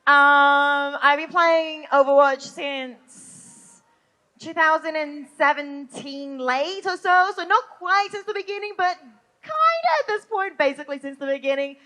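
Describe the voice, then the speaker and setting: A woman on a live convention panel, energetic and giggly, who is losing her voice. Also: high-pitched, measured pace. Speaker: panelist and esports caster. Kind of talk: casual live panel chat. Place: convention stage before a crowd.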